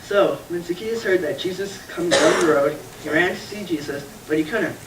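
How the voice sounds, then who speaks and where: A man with a high voice patiently tells a Bible story as a puppet character. He sounds far from the mic, in an echoey room. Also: a little fast, heavy background noise. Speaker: puppeteer playing Carl. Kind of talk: church puppet show, Bible story telling.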